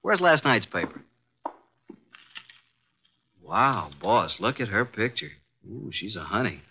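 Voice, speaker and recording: An actor playing a brash, eager young detective's assistant in old radio drama, with slangy, wisecracking talk. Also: male voice, lowish voice, sing-song, takes it slowly, creaky, highs cut off, slight background noise, heavily acted. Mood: admiring.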